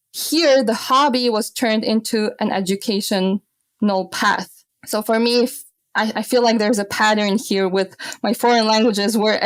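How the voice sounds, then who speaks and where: A woman in her twenties who grew up in Austria, telling stories as a podcast guest, animated and often laughing. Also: mid-range voice, no room sound. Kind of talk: casual podcast interview.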